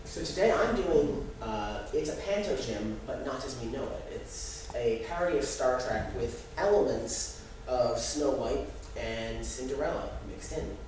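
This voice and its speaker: A young adult man giving a halting, explanatory student presentation live in a room. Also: mid-range voice, lively intonation, a little mellow. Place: echoey indoor room. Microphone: distant microphone. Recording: very echoey room, distant mic, noisy.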